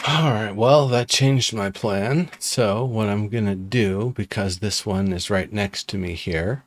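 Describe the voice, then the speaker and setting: Deep, slow-spoken man player. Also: no echo. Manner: deliberate, planning out loud. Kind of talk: tabletop game chat.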